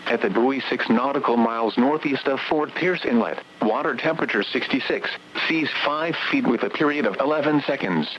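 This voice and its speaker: A man's voice: medium pitch, slight background noise. Synthetic male weather radio announcer, dull sound. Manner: measured synthetic delivery, evenly read. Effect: radio broadcast. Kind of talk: automated scripted weather broadcast.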